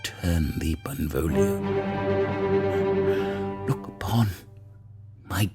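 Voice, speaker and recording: A booming, pompous drama teacher. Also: male voice, big pitch swings, drawn-out words, over loud music, full character voice. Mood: theatrical. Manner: grandiose, hammy Shakespearean declaiming.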